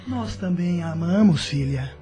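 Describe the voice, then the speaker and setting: A man as a high-voiced, loving, pious father. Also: animated, a little slow, warm tone, soft background music. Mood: tender, solemn. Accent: Brazilian. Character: loving, forgiving father spirit, gentle and pious. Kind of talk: Brazilian spiritist audio drama acting.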